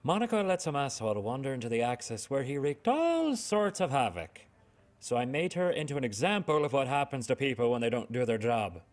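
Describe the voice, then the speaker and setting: A man voicing a foul-mouthed, domineering high school valedictorian in a video game cutscene. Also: sing-song, a little fast, close to an everyday voice. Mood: menacing, irate. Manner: domineering, swearing, menacing.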